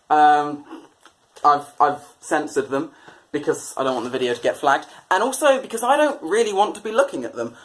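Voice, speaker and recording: A man's voice: a little high, a bit bright, a little hiss or hum, poor audio. A British man presenting satirical commentary on newspapers in a sardonic, mock-exasperated way. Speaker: comedy presenter.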